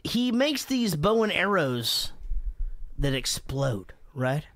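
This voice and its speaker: A man's voice: on the high side, takes it slowly. A man hosting a casual movie podcast, joking and swearing as he talks through the film. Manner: profane, joking, animated. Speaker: podcast host.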